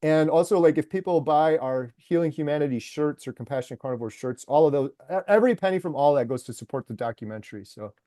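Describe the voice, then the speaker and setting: Excited man telling stories in an interview. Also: a little high, fast talker, few pauses, no echo, clean studio sound. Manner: enthusiastic storyteller, lots of fillers like "like" and "uh". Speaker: documentary maker and YouTuber. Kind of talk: casual interview conversation.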